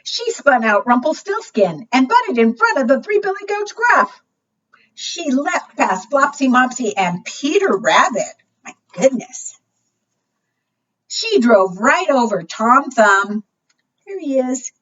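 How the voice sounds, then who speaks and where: Lady reading picture books to little kids, takes her time, very expressive, sounds a bit far from the mic. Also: high voice, takes it slowly. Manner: asks young listeners questions, simple child-directed phrasing; animated, expressive with gasps and exclamations. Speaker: library storytime host for preschoolers. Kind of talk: children's storytime, reading picture books aloud. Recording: muffled, distant microphone.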